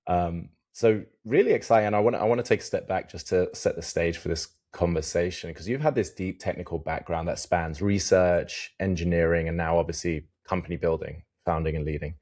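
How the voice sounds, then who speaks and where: A man's voice: low voice, brisk, a little mellow. A man hosting a podcast and interviewing a guest in a casual, friendly way, chatting with filler words such as "um" and "like.". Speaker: podcast host.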